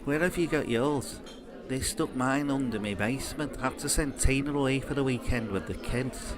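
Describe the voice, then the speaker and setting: A man's voice: medium pitch, moderate pace, crowd noise, close to an everyday voice. A man acting an ordinary working bloke turned criminal recruit, speaking casually and a bit guardedly with a British accent. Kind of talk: naturalistic audio drama dialogue.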